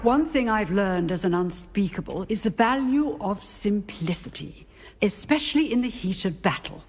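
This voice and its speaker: A woman's voice: mid-range voice, lively intonation, moderate pace, slight background noise, close to an everyday voice. A woman voicing a stern magic professor. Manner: crisp, commanding, formal teacher's delivery. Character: strict demanding female magic professor. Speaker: professor teaching dueling. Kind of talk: video game voice acting.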